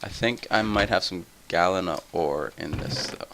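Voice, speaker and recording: A tired young man with a somewhat deep voice, rambling through casual gameplay commentary full of tangents. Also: moderate pace, vocal fry, noisy background. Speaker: let's play host.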